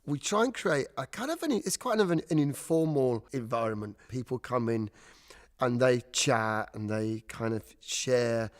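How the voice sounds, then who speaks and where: A man who works as a theatre director, talking reflectively and giving gentle explanations about the work. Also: mid-range voice, expressive, normal speed. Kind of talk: interview talk and rehearsal direction.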